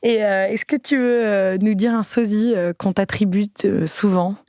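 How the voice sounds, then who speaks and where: A chatty woman hosts a podcast, putting friendly questions to her guest in a mid-pitched voice. She often hesitates with "euh" as she goes. The recording is dry and close, with no room in it. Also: steady tone, moderate pace, a little mellow, no room sound. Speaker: podcast host. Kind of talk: friendly interview.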